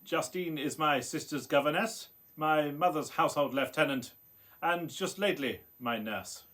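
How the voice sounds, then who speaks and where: An actor playing an intense, brooding young gentleman scientist in a radio play, with a theatrical, hesitant delivery. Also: on the high side, normal speed. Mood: amiable.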